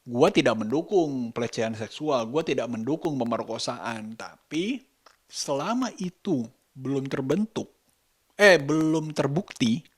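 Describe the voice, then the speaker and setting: A man giving his opinions in a casual vlog, speaking deliberately and often searching for words. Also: medium pitch, lively intonation, breathy edge, no echo. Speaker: vlogger, host.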